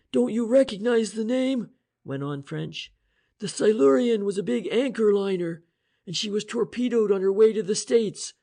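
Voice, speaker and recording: An excitable inspector, lifted pitch, gravelly, slow and forceful. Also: male voice. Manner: eager, emphatic and commanding. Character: brisk, excitable male police inspector.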